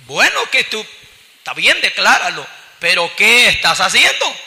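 A man's voice: high voice, sing-song, a little slow, pushed and bright, long reverb tail. A man with a Salvadoran accent preaching an evangelical sermon, emphatic and full of "gloria al Señor" and "aleluya" interjections. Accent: Salvadoran Spanish.

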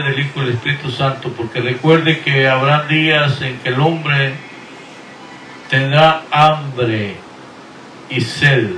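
A middle-aged man, a pastor, preaching and sending drawn-out, earnest blessings and greetings over the radio. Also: medium pitch, fairly bright tone, slight background noise. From Guatemala. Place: Houston, Texas. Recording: echoey room, voice from far off the mic.

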